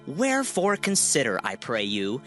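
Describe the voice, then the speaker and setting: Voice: medium pitch, huge pitch swings, normal speed, fairly bright tone. An actor playing an outraged, suspicious king in a dramatized scripture reading, indignant and declamatory. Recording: faint music behind the voice.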